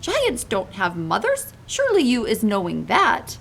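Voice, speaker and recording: A woman's voice: fairly high pitch, wildly swooping pitch, a little slow, creaky, a bit bright, a little hiss or hum, exaggerated voice. A woman reading aloud and voicing a kindly, forlorn old male giant who mangles his grammar and invents words. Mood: wistful. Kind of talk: storybook read-aloud.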